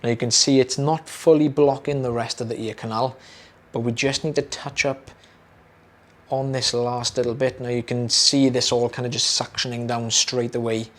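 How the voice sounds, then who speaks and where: A young British man, an audiologist, explaining a procedure to camera in a relaxed, chatty way with many fillers and repeated words. His voice is slightly hoarse, as if he is losing it. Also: mid-range voice, moderate pace.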